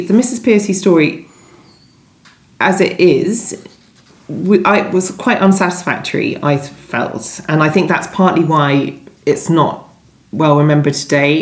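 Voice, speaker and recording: Low-voiced woman in an echoey room. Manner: hesitant, thinking aloud, often rephrasing mid-sentence. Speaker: guest novelist being interviewed. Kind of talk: conversational author interview. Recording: roomy, echoey sound.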